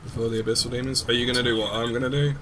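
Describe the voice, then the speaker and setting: A young man, chatting in a relaxed way during a gaming stream and swearing casually. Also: mid-range voice, racing, background noise.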